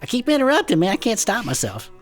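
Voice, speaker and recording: A woman's voice: a little high, wildly swooping pitch, fast, soft background music. A woman playing a teasing, skeptical, slangy cryptid-club co-host who keeps interjecting with casual comic banter. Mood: teasing, curious. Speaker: podcast co-host.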